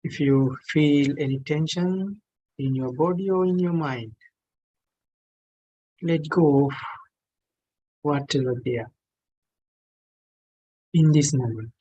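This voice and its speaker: Male meditation teacher, non-native English, very slow delivery, mid-pitched, gentle phrases with long pauses. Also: husky, lo-fi sound. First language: not English. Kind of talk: guided meditation instruction.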